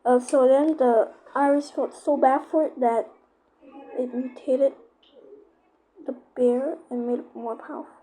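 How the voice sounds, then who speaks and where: A female speaker giving a casual, informal explanation, often halting and searching for words. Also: high-pitched, steady tone, warm tone, poor audio. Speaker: YouTuber explaining horror lore.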